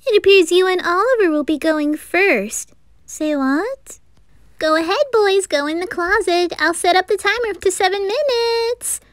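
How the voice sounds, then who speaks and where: A woman's voice: very high-pitched, unhurried, dry recording, clean studio sound, pitched above the performer's own voice. A woman playing a perky, teasing teenage pop-idol girl in a hobby anime audio drama. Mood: playful, teasing. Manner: bubbly, teasing.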